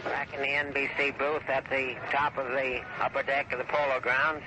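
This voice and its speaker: Male American vintage radio commentator, mid-pitched, measured recap delivery, noisy old broadcast. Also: little pitch movement. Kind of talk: 1930s radio game summary. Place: broadcast booth high in a stadium.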